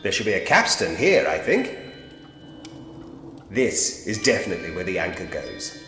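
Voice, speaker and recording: A man's voice: low-pitched, big pitch swings, moderate pace. A man voicing a polite, drily whimsical Victorian police inspector who muses to himself in an adventure game. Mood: methodical. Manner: musing to himself, genteel, drily humorous. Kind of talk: point-and-click adventure game voice acting. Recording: reverberant room with long echo. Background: game music.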